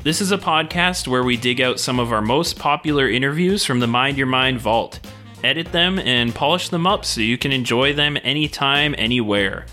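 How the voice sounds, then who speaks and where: Podcast host guy reading his intro, bright voice, some music playing quietly under him. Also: medium pitch, lively intonation, normal speed. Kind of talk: scripted intro.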